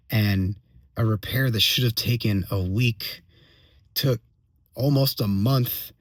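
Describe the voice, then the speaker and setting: A man with a slightly hoarse voice giving a casual personal update as a vlogger, venting in a halting way, repeating phrases and checking in with tag questions. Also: on the low side, fairly bright tone, no room sound. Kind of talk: casual solo monologue, venting.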